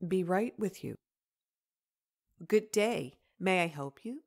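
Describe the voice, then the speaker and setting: A woman's voice: on the low side, lively intonation, slow pace, gravelly, heavy background noise, full character voice. A woman playing a friendly, chatty young mother in a comic dub.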